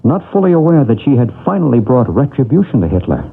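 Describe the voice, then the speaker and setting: An actor playing a calm paranormal expert who gently reassures a woman in an old radio drama. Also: mid-range voice, quick pace, gravelly, husky, exaggerated voice. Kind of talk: 1970s radio drama acting. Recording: tinny old radio broadcast.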